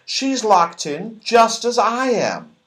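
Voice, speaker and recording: Bloke doing a high voiced magical little man from a storybook, kind, bit of a worrier. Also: big pitch swings, takes it slowly, a bit bright, full character voice. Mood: worried, hushed.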